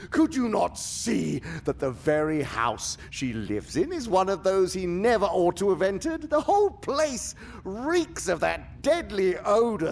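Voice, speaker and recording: A man playing an imperious senior demon in a theatrical radio drama, venomous and contemptuous, with a gravelly voice and grandiose, sneering delivery. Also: a little high, exaggerated voice. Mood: exasperated outrage. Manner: grandiose, sneering, savoring words, swinging from hiss to roar.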